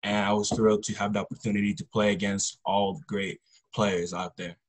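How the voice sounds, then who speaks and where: A teenage male athlete answers interview questions casually. His voice is fairly low and very flat in melody, with little rise or fall as he talks. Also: a little fast. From Congo, later the US Pacific Northwest. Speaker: high school football player, podcast guest.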